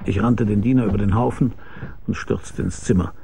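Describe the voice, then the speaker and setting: Deep, gravelly male private eye. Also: fairly quick, dark tone, a little hiss or hum. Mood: tense narration. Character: weary hard-boiled male private detective narrating his case. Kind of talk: radio crime drama narration and dialogue.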